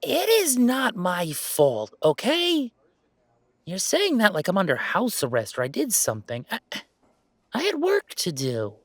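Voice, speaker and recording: A man's voice: high-pitched, wildly swooping pitch, a little slow, no room sound, full character voice. A man playing a weary but fond werewolf gamer who streams, bantering and complaining in a conversational roleplay audio. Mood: mock defensive. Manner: sighing, bantering, mock-defensive complaining. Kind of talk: one-sided roleplay audio drama, conversational.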